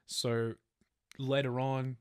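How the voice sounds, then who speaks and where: A man's voice: mid-range voice, level tone, extremely slow, darkish tone, clear, resonant tone, dry recording, studio quality. A man telling a true crime story as a podcast host, partly from a script. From Australia.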